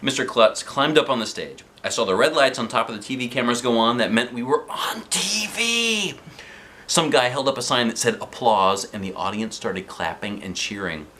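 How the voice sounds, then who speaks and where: A man's voice: mid-range voice, animated, moderate pace, bright, forceful tone, a little hiss or hum. A man reading a children's book aloud as a dad would, expressively acting out the story.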